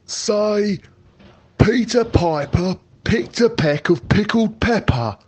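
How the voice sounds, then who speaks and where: A man reading a storybook aloud and voicing a rough-spoken male burglar with a slow, drawling dialect. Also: high-pitched, animated, a little mellow, no room sound, voice pushed up, exaggerated voice. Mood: gruff.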